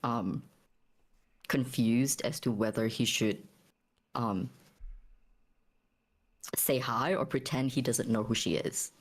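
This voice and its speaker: Tabletop player, casual, thoughtful, hesitant delivery, middle-pitched voice. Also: takes it slowly, long pauses, husky. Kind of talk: casual in-game character description.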